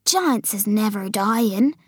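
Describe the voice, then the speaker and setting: A woman's voice: mid-range voice, expressive, slow pace, husky, dry recording, studio quality. A woman voicing a very old, forlorn but friendly male giant in a storybook, drawing out mangled words with great emphasis. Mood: musing.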